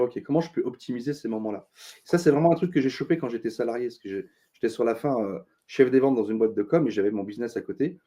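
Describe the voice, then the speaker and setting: A middle-aged man, a consultant being interviewed, talking earnestly with many pauses and restarts. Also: mid-range voice, dark tone. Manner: thoughtful, many fillers and restarts, earnest advice-giving. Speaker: interview guest, business consultant. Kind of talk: casual interview talk.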